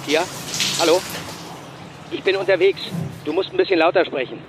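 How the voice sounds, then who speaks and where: An actor playing a distracted, hearty boss on a noisy phone call in an audio drama, speaking briskly while on the move. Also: male voice, high-pitched, steady tone, music in the background, lightly acted. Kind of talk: naturalistic fiction podcast acting.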